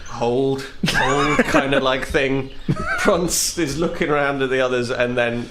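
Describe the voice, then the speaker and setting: A man's voice: medium pitch, huge pitch swings, a little slow, heavy background noise. Man role-playing in a roomy, echoey space. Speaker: tabletop game player. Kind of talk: casual improvised role-play and chat. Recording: roomy echo with music underneath. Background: music.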